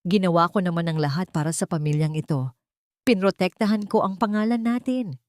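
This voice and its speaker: A woman's voice: lowish voice, brisk, a little mellow, no echo, natural voice. Woman narrator as proud older society mother, haughty, dramatic and pleading, audiobook drama, dry sound. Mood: despairing.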